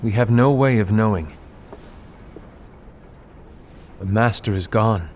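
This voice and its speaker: An actor playing a grave, suspicious leader of a fantasy order in a game, speaking solemnly and formally with deliberate phrasing. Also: male voice, lowish voice, darkish tone, slight background noise, natural voice. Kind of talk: scripted game cinematic acting.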